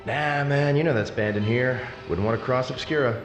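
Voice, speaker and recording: An actor playing a matter-of-fact lab technician in a sinister video game facility. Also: male voice, medium pitch, moderate pace, natural voice. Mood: gossipy, casual. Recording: long echoing reverb, faint music behind.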